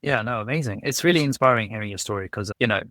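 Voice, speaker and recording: A man's voice: lowish voice, very fast, bright, forceful tone, no room sound, clean studio sound. A man hosting a podcast interview, upbeat and chatty, often saying "yeah, no". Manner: upbeat, many fillers like "yeah, no". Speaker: podcast host.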